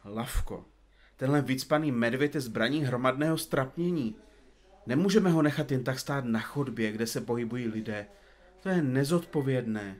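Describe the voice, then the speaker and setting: A man's voice: mid-range voice, darkish tone, natural voice. A man playing a pompous, absent-minded detective in an audio comedy drama, speaking in florid, formal, bookish phrases. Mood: pompous, fussy.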